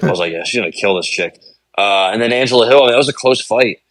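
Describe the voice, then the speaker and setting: A man's voice: medium pitch, animated, quick pace, fairly bright tone, no echo. A man co-hosting a podcast, breaking down fighters in casual chat, with many ums and I-means. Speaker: podcast co-host. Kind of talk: casual sports analysis chat.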